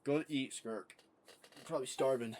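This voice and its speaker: A young man reacting to a story game as a streamer, exclaiming in an excitable way. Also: medium pitch, normal speed, a crowd in the background. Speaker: gaming streamer. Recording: loud game music behind.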